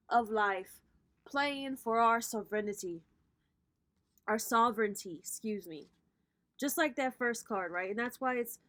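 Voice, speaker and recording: A woman's voice: fairly high pitch, fairly bright tone. A woman in her thirties doing a casual tarot reading vlog as a spiritual coach, with a sassy, playful way of talking that drops swear words. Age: 30s.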